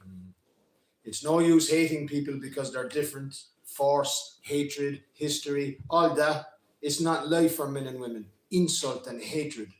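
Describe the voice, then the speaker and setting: Guy playing a mild earnest fella, calm and measured, roomy hall sound. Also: on the high side, natural voice. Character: mild, earnest, reasonable middle-aged man. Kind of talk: live dramatic reading. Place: community centre hall, live event.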